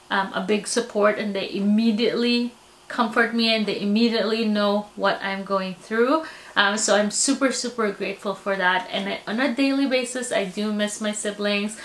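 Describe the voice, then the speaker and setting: A woman's voice: mid-range voice, fairly bright tone. A woman from the Philippines with a Filipino accent, talking candidly and reflectively in a personal vlog, a little self-deprecating. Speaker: vlogger. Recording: echoey room.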